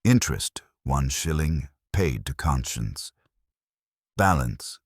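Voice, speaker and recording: A British man voicing a pedantic village shopkeeper in a mystery story, brisk, clipped and precise. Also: very deep voice, expressive, breathy edge, no room sound, clean studio sound, exaggerated voice. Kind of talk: storybook character dialogue in a mystery.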